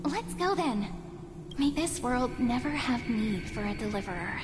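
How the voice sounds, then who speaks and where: An actress playing a gentle, playful village girl who teases affectionately in a video game. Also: fairly high pitch, animated, unhurried, background noise. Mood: playful, reassuring. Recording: long reverb, prominent game music.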